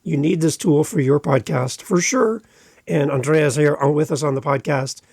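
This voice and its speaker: A man hosting a podcast in an upbeat, promotional way, with a voice that sits a little high for a man. The sound is close and dry, with almost no room echo. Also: moderate pace, gravelly, darkish tone, slightly rough. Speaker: podcast host.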